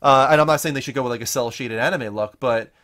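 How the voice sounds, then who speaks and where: A man's voice: mid-range voice, fast talker, fairly bright tone. A man hosting a casual panel chat about video games, conversational and thinking aloud as he goes. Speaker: show host leading a panel.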